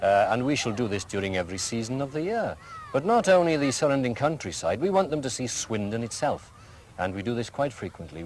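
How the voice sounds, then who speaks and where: A middle-aged Welsh headmaster answering interview questions in a measured, explanatory way, giving plenty of examples. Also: male voice, mid-range voice, a little hiss or hum. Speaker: school headmaster. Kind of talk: archive community TV interview answers.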